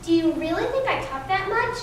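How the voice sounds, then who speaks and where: Self-satisfied young mortal woman, acted by a woman, lively swooping delivery, very echoey distant stage recording. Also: very high-pitched, a little fast, slight background noise. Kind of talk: readers theatre stage performance. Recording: very echoey room, distant mic.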